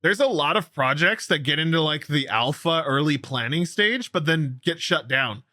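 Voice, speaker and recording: A man with a fairly high, bright voice hosts gaming news in a dry-sounding recording. He talks at length and informally, explaining each item as casual commentary. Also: expressive, normal speed, no room sound. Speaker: host of a gaming news show.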